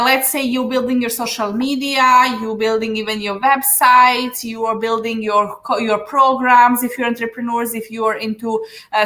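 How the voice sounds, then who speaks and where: A woman's voice: a little high, sharp tone. A woman from Serbia with a Serbian accent, a business coach giving earnest advice in an interview, with frequent fillers. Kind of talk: interview answers. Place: Dubai.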